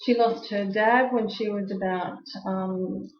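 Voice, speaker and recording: A woman's voice: medium pitch, fairly even intonation, slow pace. A woman interviewing an elderly man for a family book, chatty and encouraging, with many "um" fillers. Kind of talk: oral history interview. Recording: echoey room, dull lo-fi tape.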